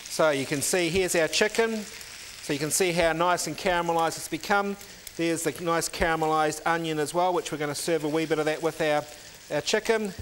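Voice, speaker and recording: A man's voice: fairly high pitch, a little fast, fairly bright tone, slight background noise. A middle-aged man, a chef in a teaching kitchen, explaining each step of a recipe while he cooks, on a lapel mic. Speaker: cooking show chef. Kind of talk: cooking demonstration.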